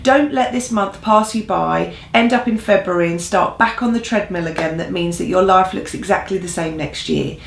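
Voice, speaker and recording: A woman's voice: fairly low pitch, normal speed, creaky, few pauses. A woman co-hosting an informal church news update in an earnest, encouraging way. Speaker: church newsreel co-host. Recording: echoey room sound.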